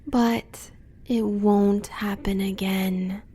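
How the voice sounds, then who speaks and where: Lady acting as american huntress lover, soft dark voice, whispery, intimate, coolly bloodthirsty, really slow. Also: mid-range voice, flat delivery. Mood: wistful, bloodthirsty. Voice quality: breathy, often whispering. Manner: intimate, measured. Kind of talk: romantic ASMR audio roleplay.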